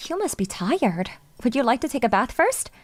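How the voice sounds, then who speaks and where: A woman's voice: a little high, big pitch swings, normal speed, dry recording. A woman voicing a sweet, polite young female idol with an earnest, coaxing way of talking, in a manga dub. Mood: cheerful. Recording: music under the voice.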